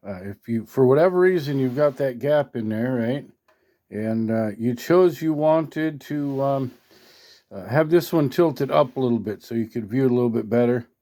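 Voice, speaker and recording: A man's voice: mid-range voice, mellow. A man talking casually and unscripted as he demonstrates gear, in a folksy, hesitant way, often checking in with the listener. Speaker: hobbyist product demonstrator. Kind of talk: casual unscripted demo talk.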